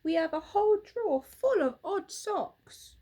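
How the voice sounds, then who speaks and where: A woman's voice: very high voice, animated, measured pace, warm tone, voice pushed up. A woman voicing a cheerful, chatty toy in a storybook.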